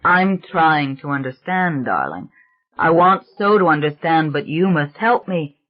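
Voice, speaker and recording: A patient priest coaxes a frightened child, soft and careful, read by a woman in close to her own voice. The sound is slightly muffled. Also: low-pitched, measured pace. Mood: coaxing. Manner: gentle, coaxing, careful. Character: earnest patient male priest, middle-aged. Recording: dull lo-fi recording.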